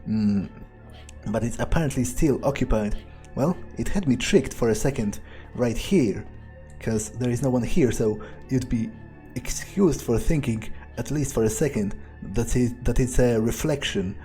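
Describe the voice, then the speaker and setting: Man role-playing a menacing male vampire, game monologue, unhurried, halting, soft dark tone. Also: mid-range voice, soft background music, close to an everyday voice. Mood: musing, puzzled. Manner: slow, halting, muttering to himself. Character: cold, predatory male vampire thinking aloud, casually menacing. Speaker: player role-playing a character. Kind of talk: in-character game playthrough monologue.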